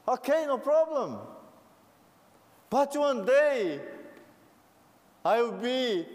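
A Brazilian man with a Portuguese accent preaches a sermon in a high, lively voice that climbs into fervent calls. He talks very slowly and deliberately. His voice rings out with a long reverb. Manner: rising to fervent calls and responses.

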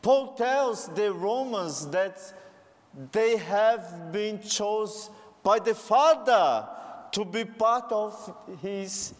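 A man's voice: high-pitched, extremely slow, in a large hall. A man from Brazil with a Brazilian Portuguese accent, a native Portuguese speaker, preaching a sermon and rising to fervent calls to the congregation.

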